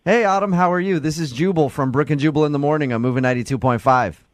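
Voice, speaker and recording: A man's voice: fairly high pitch, fast, no echo. A man hosting a morning radio show, chatting casually and jokingly. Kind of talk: casual radio prank-call chat.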